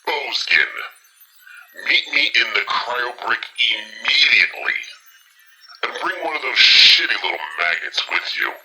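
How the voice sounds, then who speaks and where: A man acting as an armored tyrant count in an audio drama, a commanding and menacing villain with a husky voice. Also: medium pitch, lively intonation, measured pace, bright, forceful tone, heavily acted, poor audio. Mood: commanding, impatient.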